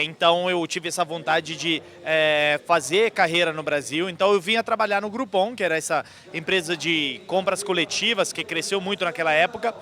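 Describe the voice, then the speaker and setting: A man's voice: fairly high pitch, bright, forceful tone. A man in his thirties from Italy with an Italian accent, answering interview questions fluently with frequent hesitation fillers. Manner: fluent, explanatory, many "é" fillers. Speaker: guest speaker. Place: outdoors at a convention.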